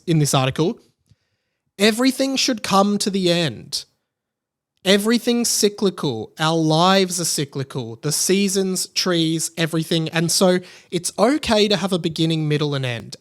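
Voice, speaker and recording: Higher-voiced Australian man, podcast host. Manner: opinionated, emphatic, conversational. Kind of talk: casual solo pop-culture commentary.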